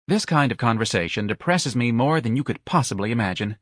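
A man voicing an effeminate young man, breathy, gushing and dramatic, as a character in an audiobook. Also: medium pitch, animated, brisk, no echo, clean studio sound, close to an everyday voice. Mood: weary, dramatic.

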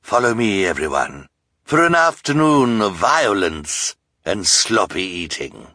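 A man's voice: on the low side, sing-song, unhurried, pushed and bright, no echo, exaggerated voice. Brief: vain scheming count, pompous and proud, read by the male narrator, dry close sound. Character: vain scheming villainous count, adult man.

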